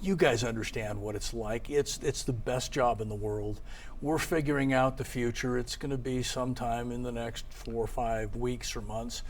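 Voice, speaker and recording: A man's voice: medium pitch, steady tone, moderate pace, slight background noise. An American man in his sixties, an investigative television reporter speaking in a broadcast news style. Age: 60s. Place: TV studio.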